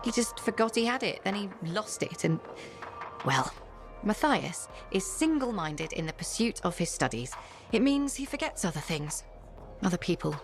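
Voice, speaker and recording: A scholarly game character who is frank and a little easily flustered. Her voice sits at a medium pitch, dryly humorous, with clear music behind. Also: big pitch swings, moderate pace. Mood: candid, dry. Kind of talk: video game dialogue acting.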